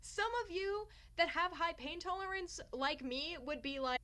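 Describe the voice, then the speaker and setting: A woman's voice: very high-pitched, measured pace, sharp tone. A young woman vlogger telling a personal story in a casual, theatrical way with sarcastic, self-mocking asides. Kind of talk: casual storytime chat to camera.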